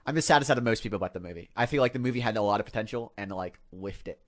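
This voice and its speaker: A man co-hosting a casual podcast in a halting way, often repeating words as he gets his thought out. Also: on the low side, lively intonation. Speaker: podcast co-host. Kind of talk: casual group podcast banter.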